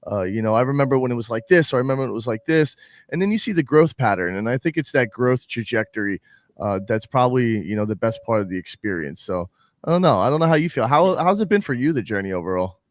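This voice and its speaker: Fast-talking enthusiastic man. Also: medium pitch, expressive, no room sound, clean studio sound. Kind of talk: casual podcast chat.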